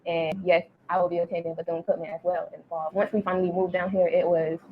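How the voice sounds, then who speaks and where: A woman's voice: fairly low pitch, flat delivery, fast talker. An eighteen-year-old woman giving a personal account in a documentary interview. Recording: thin phone-like noisy interview clip.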